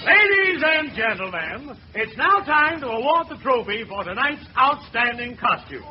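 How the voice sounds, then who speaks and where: Very high-pitched party emcee. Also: male voice, big pitch swings, moderate pace. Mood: formal, projected. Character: formal master of ceremonies at costume party. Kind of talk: 1940s radio comedy, public announcement. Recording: very noisy, dull old radio recording.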